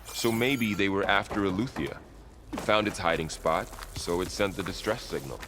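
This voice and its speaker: An actor playing a calm, curious male companion on an expedition in a video game, easygoing and inquisitive. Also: low-pitched, moderate pace, background noise, close to an everyday voice. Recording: game music behind the voice.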